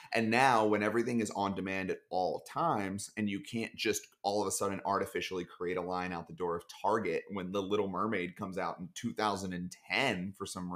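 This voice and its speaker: Low-voiced man joking deadpan on a call. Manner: joking, rambling, deadpan dark humor. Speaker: podcast guest. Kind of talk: casual chat. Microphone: video call.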